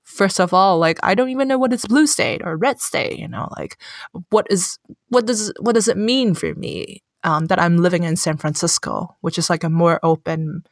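A young woman from Hong Kong, a therapist with a Cantonese accent, speaking English as a second language in a thoughtful, halting way, with many fillers. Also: fairly low pitch, dry recording, clean studio sound. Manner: thoughtful, searching for words, frequent fillers. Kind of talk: casual conversation.

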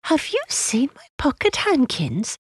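Woman as an inquisitive high-voiced little girl. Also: huge pitch swings, unhurried, darkish tone, slightly rough, dry recording, studio quality, exaggerated voice. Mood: questioning. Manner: inquisitive, childlike. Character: curious, frightened-sounding little storybook girl.

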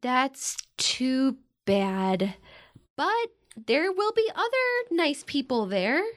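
An actress playing a blunt, teasing, loyal young tomboyish sister with an American accent, in a naturalistic modern audio drama. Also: female voice, high-pitched, sing-song, very slow, fairly bright tone, full character voice. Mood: playful, sympathetic. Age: young adult. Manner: casual, playful, frank.

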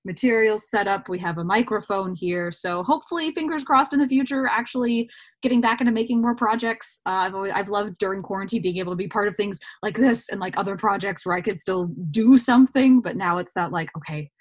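A woman's voice: mid-range voice, brisk. A woman chatting informally as a guest in a casual podcast interview. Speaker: podcast guest, actor and cosplayer.

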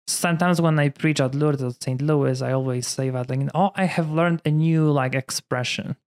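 Polish-accented priest, chatty and casual. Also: male voice, fairly high pitch, steady tone, normal speed, darkish tone, clean tone. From Poland. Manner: chatty, many fillers like "you know". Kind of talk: casual conversation.

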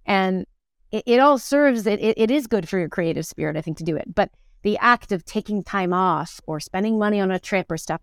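A woman's voice: medium pitch, smooth, no echo, studio quality. A woman talking casually and reflectively as an interview guest, often thinking aloud in broken-off phrases. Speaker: interview guest, stage actor.

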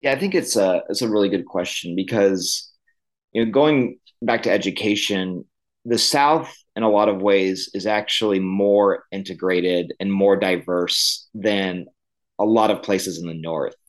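A man's voice: deep voice, unhurried, low-quality recording. A man who grew up in Illinois, a guest on a podcast, talking loosely with many "um"s and "like"s and a shifting pace. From Midwest, Illinois. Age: millennial. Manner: conversational, many fillers, uneven pace. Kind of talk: podcast interview.

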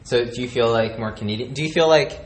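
A man's voice: medium pitch, fast talker, slight background noise. A man interviewing someone in a casual conversation, rambling and often trailing off mid-thought.

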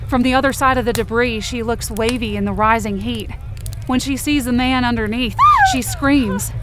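A woman's voice: a little high, normal speed, background noise, natural voice. A woman acting as a stubborn, desperate young rescuer, narrating a supernatural audio drama in an intense, emotive way. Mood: tense, grieving. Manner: intense, emotive, swearing under strain. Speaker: narrator and lead actor of a fiction podcast. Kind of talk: dramatic first-person present-tense audio drama narration.

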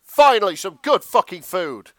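A man's voice: high-pitched, sing-song, moderate pace, fairly bright tone, dry recording, studio quality, pitched above the performer's own voice. Man putting on a brash, flirty celebrity chef. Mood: emphatic, brash.

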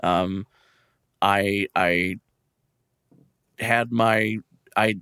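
A man co-hosting a podcast in casual chat, talking in a halting, rambling and blunt way. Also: on the low side, steady tone, pushed and bright, clean tone, dry recording, studio quality. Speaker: podcast co-host.

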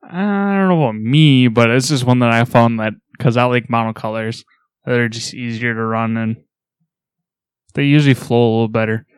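A man with a clean, smooth voice at an average pitch. He co-hosts a podcast, talking casually and with understated humor. The recording is close and free of room sound. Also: normal speed, dry recording. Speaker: podcast co-host. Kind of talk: casual show rundown.

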